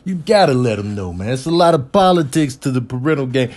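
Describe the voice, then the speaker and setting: A man's voice: medium pitch, lively intonation, a little fast, darkish tone. A man giving casual, slangy comedic commentary as a parent, telling stories with mock indignation.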